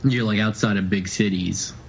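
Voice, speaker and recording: A man's voice: fairly low pitch, fairly even intonation, normal speed, creaky. A man co-hosting a podcast, giving casual, laid-back and slightly hesitant reactions. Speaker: podcast co-host. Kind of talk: casual chat, brief reactions. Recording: dull lo-fi sound, faint noise.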